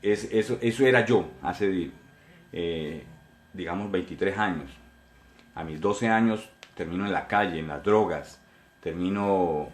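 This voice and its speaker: A Colombian man in his forties preaches his testimony in an earnest, direct way, circling back over his own words. His voice sits on the low side. The sound carries a noticeable room echo. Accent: Colombian Spanish. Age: 40s. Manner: earnest, repetitive, addressing listeners directly. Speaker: pastor. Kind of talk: sermon testimony.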